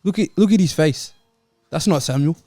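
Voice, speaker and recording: A man with a slightly high voice trades slangy banter on a sports podcast. He is blunt and teasing, recorded close with a dry sound. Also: animated, moderate pace, warm tone, no echo, faint music behind. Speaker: podcast panellist. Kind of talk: casual slangy football banter.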